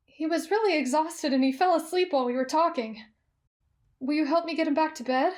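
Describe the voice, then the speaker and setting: A woman voicing a gentle, tactful young companion in an anime fan roleplay, polite and coaxing. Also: sits high, brisk, close to an everyday voice.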